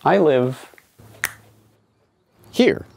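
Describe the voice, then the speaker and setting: A man in his forties from Canada, hosting his own online show with a scripted, emphatic monologue and lively hand gestures. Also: on the high side, extremely slow, vocal fry, slightly rough. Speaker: independent online journalist and host. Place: small home studio indoors, also outdoors on the street. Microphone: studio microphone on a stand beside the camera.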